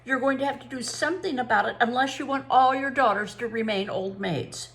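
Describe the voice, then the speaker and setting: A woman's voice: fairly high pitch, brisk, fairly bright tone. A woman reading a storybook as a melodramatic young woman, overwrought and complaining about little siblings. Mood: hysterical, pleading.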